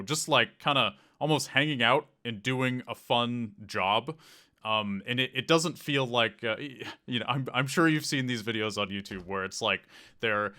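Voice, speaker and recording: A man's voice: mid-range voice, pushed and bright. A man hosting a casual podcast interview, talking hesitantly with many fillers. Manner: hesitant, full of "kinda" and "like" fillers. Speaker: podcast host.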